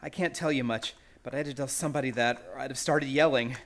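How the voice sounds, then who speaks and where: A man's voice: medium pitch, steady tone, lightly acted. A man playing an anxious, polite young chemist in a radio play, speaking in a hesitant, tormented way. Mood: nervous, desperate. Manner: hesitant, nervous, halting.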